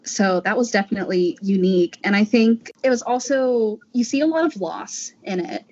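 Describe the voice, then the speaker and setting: A woman talks as a voice actor in a relaxed group discussion. Her voice has a husky grain and her way of speaking is reflective. The recording is a little muffled. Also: medium pitch, normal speed. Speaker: voice actor discussing an audio drama. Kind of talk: casual panel discussion.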